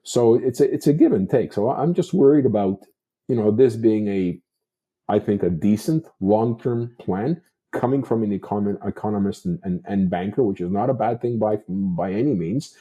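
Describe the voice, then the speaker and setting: A man's voice: fairly low pitch, moderate pace, mellow. A Canadian man giving unscripted political commentary as a talk show host, discursive and repeating himself for emphasis.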